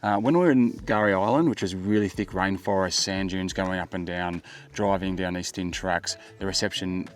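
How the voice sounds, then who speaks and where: A man's voice: low-pitched, normal speed. A middle-aged Australian man giving a casual, conversational product review in a laid-back way. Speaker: tech vlogger, product reviewer.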